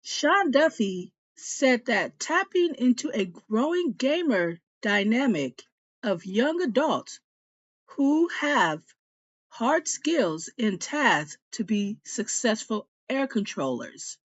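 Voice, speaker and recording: A woman with a fairly high, lively voice reads out a news item at an unhurried pace, stumbling slightly. The sound is dry and a little dull. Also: dry recording. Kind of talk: reading a news report aloud, slightly halting.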